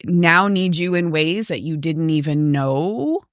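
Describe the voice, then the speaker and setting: A middle-aged woman in reflective, casual podcast conversation, phrasing her thoughts in a halting, thoughtful way. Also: deep voice, animated, no room sound, clean studio sound. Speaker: podcast host.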